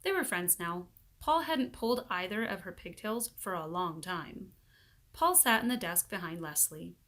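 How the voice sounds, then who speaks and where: A woman reading a children's book aloud as expressive narration, acting out the dialogue in an animated way. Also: lowish voice, normal speed.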